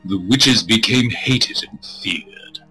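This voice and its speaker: A man streaming a visual novel, reading it aloud with crude, irreverent joking asides, in a husky voice. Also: mid-range voice, slow, pushed and bright, poor audio. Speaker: game streamer. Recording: music playing behind the voice.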